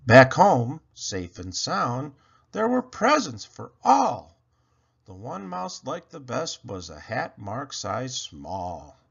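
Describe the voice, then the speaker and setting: Male children's read-aloud narrator, husky and bright, highly animated delivery, slightly dull recording. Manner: theatrical, deliberate phrasing. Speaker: children's storybook reader. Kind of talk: expressive rhyming picture-book read-aloud.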